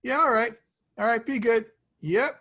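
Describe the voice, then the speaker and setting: Man playing husky, easygoing young male hero. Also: very high voice, normal speed, pushed and bright, heavily acted. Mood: chatty. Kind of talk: table read of a film script.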